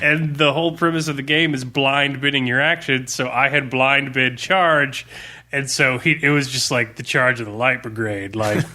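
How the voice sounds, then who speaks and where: A man's voice: medium pitch, fairly even intonation, moderate pace, pushed and bright. A man co-hosting a gaming podcast, telling a wry, casual anecdote as a game master among friends.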